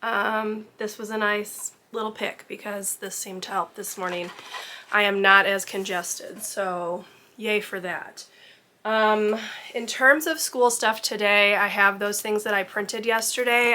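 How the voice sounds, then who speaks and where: Bright-voiced congested teacher chatting at home. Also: female voice, medium pitch, a little slow. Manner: chatty and relaxed, explaining things as she goes. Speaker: kindergarten teacher. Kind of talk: casual vlog chat.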